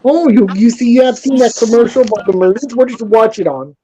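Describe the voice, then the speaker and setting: A man's voice: extremely high pitch, fast, warm tone, muffled recording. A man from Houston hosting a casual stream chat, hesitant and groping for words while quizzing his guests. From Houston, Texas. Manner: hesitant, many fillers and restarts, quizzing guests. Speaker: stream host.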